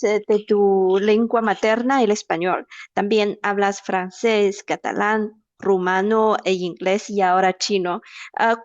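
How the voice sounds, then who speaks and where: A woman hosting an interview, asking questions at a measured, deliberate pace. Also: fairly high pitch, a little mellow, dry recording, highs cut off. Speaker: interviewer, host.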